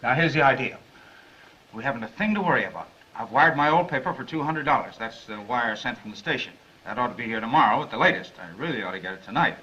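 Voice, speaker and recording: An American actor playing a cheerful, fast-talking young newspaper reporter who bluffs with glib, eager patter in a 1930s film. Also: male voice, mid-range voice, expressive, pushed and bright, slight background noise. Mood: cheerful, confident. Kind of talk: 1930s film comedy-drama acting. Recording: muffled, band-limited 1930s film soundtrack.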